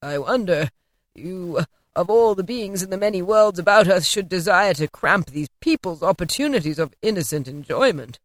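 A woman reading an audiobook and voicing an old male miser who asks hesitant, halting questions. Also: lowish voice, animated, no room sound, close to an everyday voice. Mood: questioning. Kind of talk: audiobook character voice.

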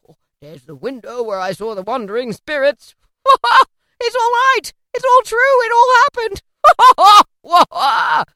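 A woman's voice: mid-range voice, expressive, moderate pace, close to an everyday voice. A woman voicing a giddy, overjoyed old man, a reformed miser in a storybook, with exclamatory, whooping, theatrical delivery. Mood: giddy, laughing. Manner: exclamatory, whooping, laughing between phrases. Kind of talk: theatrical storybook character reading.

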